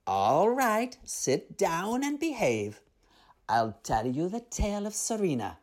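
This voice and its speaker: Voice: medium pitch, big pitch swings, slow, a little mellow, pitched above the performer's own voice. A performer with a feminine-sounding voice playing an indulgent old grand mermaid auntie in a storybook tale, mock-scolding.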